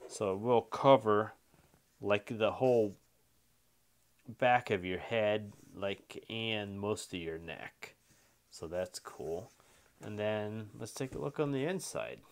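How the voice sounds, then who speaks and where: A man's voice: on the low side, takes it slowly. A man giving casual, informal unboxing and review commentary as a toy reviewer, easygoing and a bit repetitive.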